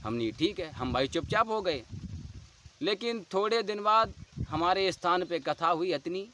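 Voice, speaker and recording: Male, fairly high voice, rural western UP Hindi accent. Earnest, insistent personal storytelling, clearly noisy outdoor recording. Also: lively intonation, a little slow, sharp tone. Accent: western Uttar Pradesh rural Hindi. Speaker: dholak player. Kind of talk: personal account told to camera.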